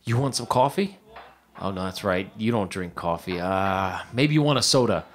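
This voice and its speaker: An actor playing an eager, deluded struggling screenwriter in a period audio drama, fast-talking and stammering as he pleads. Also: low voice, expressive, soft background music. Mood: eager, sweet.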